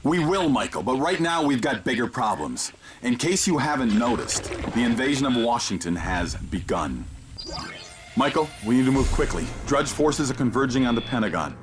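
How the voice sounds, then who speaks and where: An actor playing a grave, urgent mission guide in a video game, giving deliberate, commanding instructions. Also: male voice, mid-range voice, sing-song, lightly acted. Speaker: mission guide over radio. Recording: loud game music behind the voice.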